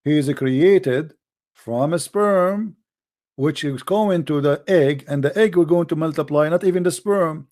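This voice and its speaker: A man's voice: a little high, unhurried, darkish tone. A middle-aged man with an Arabic accent, a native Arabic speaker, hosting a heated religious debate and pressing his points with repeated phrases. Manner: insistent, lecturing, repeats points. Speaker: host.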